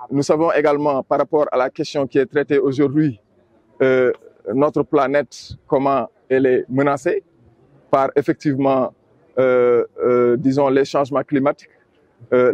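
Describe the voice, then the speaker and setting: Senegalese man, mid-pitched, formal press statement, halting explanatory delivery, dry sound. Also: steady tone, a little mellow, no room sound. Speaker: regional development agency planning official.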